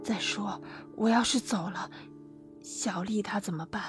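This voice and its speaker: A woman voicing a frail seventy-year-old mother, stubborn and quietly resolute, in a dry, hoarse, hushed voice. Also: over music, close to an everyday voice. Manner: low, slow, quietly resolute.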